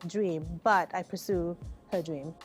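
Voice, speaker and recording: A woman's voice: on the low side, takes it slowly, faint music behind. A woman from Thailand with a Thai accent, a guest chef walking through a recipe step by step as she cooks. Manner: explains step by step while cooking, light laughs. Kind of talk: cooking demonstration. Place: restaurant bar kitchen.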